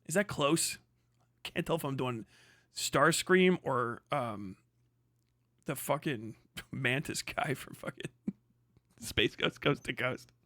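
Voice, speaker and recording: A man's voice: mid-range voice, slow, pauses often, pushed and bright, dry recording, clean studio sound. A young man hosting a livestream, giving crude, mocking reaction commentary and laughing often. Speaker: livestream host. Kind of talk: profane live reaction commentary.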